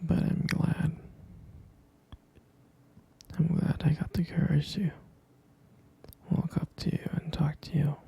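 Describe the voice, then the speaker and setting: Male actor as tender boyfriend for ASMR roleplay: breathy whisper, heavy gravelly creak, gentle and hesitant. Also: on the high side, little pitch movement, full character voice. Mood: tender, reminiscing. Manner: slow, gentle, hesitant, pausing and repeating. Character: tender, devoted boyfriend soothing his pregnant partner.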